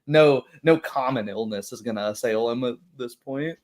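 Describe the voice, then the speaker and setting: A man's voice: mid-range voice, normal speed, a little mellow. A man who works as a game master, talking casually and thoughtfully with hesitant phrasing in a group discussion.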